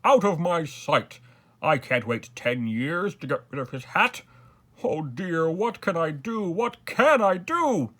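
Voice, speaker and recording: A man voicing a blustering, impatient storybook king who commands and exclaims loudly. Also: medium pitch, expressive, moderate pace, few pauses. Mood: exasperated.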